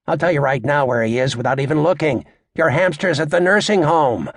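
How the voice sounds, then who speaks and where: A man playing a brash, crude stand-up comedian working a club crowd in an audiobook, with a raspy voice and a cocky, sarcastic, showy, needling delivery. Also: fairly high pitch, fairly quick, no echo, studio quality.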